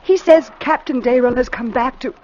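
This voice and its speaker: An actress playing a frightened, pleading ship captain's wife in an old radio drama, with a melodramatic manner. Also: female voice, high voice, moderate pace, a little mellow, muffled recording, slight background noise, close to an everyday voice. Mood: anxious pleading. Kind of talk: 1940s-50s radio drama acting.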